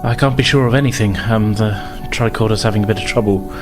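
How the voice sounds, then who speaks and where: A man's voice: lowish voice, quick pace, over music, natural voice. A man playing an anxious, hesitant young ensign medic in a tabletop game, stammering and unsure. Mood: worried, hesitant.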